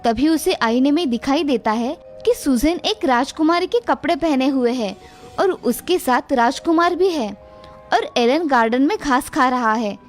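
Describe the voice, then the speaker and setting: A woman's voice: sits high, lively intonation, a little slow, faint music behind. A woman narrating a scripted children's fairy tale as storyteller.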